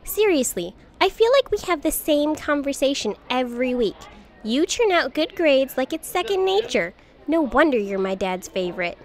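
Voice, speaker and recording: A woman playing a friendly, teasing high school girl in an audio drama, casual and perky. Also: very animated, moderate pace. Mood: playful exasperation.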